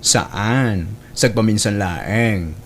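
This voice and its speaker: A lowish male voice plays a friendly, candid office colleague in a radio-style drama. He sounds conversational and matter-of-fact, with slight noise on the recording. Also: extremely slow. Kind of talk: Ilocano audio drama acting.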